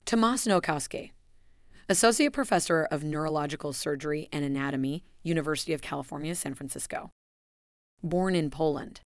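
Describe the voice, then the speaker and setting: A woman with a low, deep voice reads out a formal announcement. Her delivery is measured and even. The recording is dry, with no room sound. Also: no room sound. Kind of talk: scripted narration.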